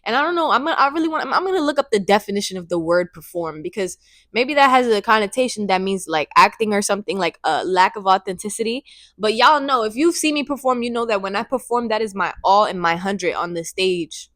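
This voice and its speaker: A woman in her twenties talking straight to camera in a casual, rambling vlog, excited and repeating her phrases, speaking into a handheld microphone. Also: medium pitch, quick pace, no echo, clean studio sound. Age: 20s. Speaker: vlogger.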